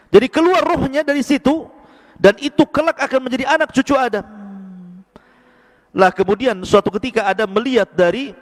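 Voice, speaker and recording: Guy preaching, high voice, lots of reverb, swings up high then drops low and slow for recitation. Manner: animated, swings between high emphatic lines and low slow Arabic recitation. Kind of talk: sermon to a congregation. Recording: echoey hall.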